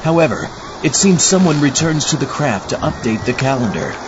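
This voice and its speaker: An eager, gullible zombie astronaut host, acted by a man, delivers scripted comic horror patter with very lively intonation. The audio is dull, with clear background noise. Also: medium pitch, fairly quick, close to an everyday voice. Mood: showmanlike.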